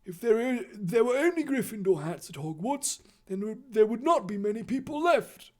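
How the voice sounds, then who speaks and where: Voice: medium pitch, lively intonation, normal speed, a little mellow, voice pushed up, lightly acted. A performer voicing a kindly old wizard reverend in a story, explaining things earnestly and tentatively. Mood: grave, earnest. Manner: tentative, well-meaning explaining.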